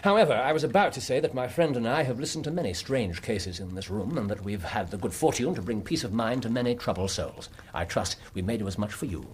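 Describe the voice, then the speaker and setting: An actor playing a keen, dry-witted Victorian consulting detective in a radio drama, with an English accent and precise, urbane, musing speech. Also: male voice, medium pitch, animated, fast talker, few pauses, husky. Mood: courteous, reassuring. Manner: precise, urbane, musing with little hums and sighs.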